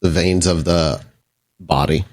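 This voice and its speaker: A man's voice: low voice, fairly even intonation, takes it slowly, soft background music. A man narrating a tabletop roleplaying game as storyteller and host, in a theatrical, scene-setting way. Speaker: game master and podcast host.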